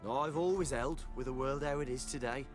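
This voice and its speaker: An actor playing an earnest, approving village companion in a video game, plain-spoken and rustic. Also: male voice, medium pitch, a little fast, soft background music, lightly acted. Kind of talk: dramatic game acting.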